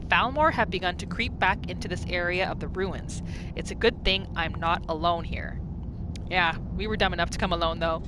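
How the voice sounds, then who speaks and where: A woman's voice: fairly low pitch, normal speed, background noise. A woman hosting a gaming video with casual role-play commentary, talking to herself as she plays. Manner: excitable, exclaims often, talks to herself. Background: game music.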